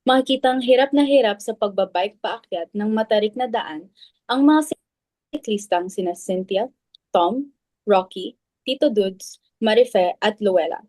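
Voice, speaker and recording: A woman's voice: medium pitch, warm tone, dry recording. A woman with a Filipino accent reading stage directions as scripted narration for a dramatic reading.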